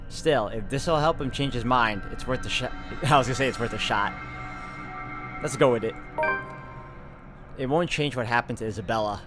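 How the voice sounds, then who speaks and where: Man narrating a visual novel over music. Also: mid-range voice. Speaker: video game walkthrough host. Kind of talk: casual commentary and reading visual novel narration aloud. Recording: music behind the voice. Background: game music.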